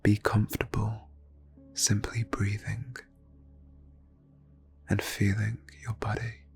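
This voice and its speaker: A man leading an ASMR guided meditation in a soft, breathy near-whisper, phrasing slowly and soothingly with long pauses. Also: lowish voice, completely flat delivery, soft background music. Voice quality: breathy, hushed.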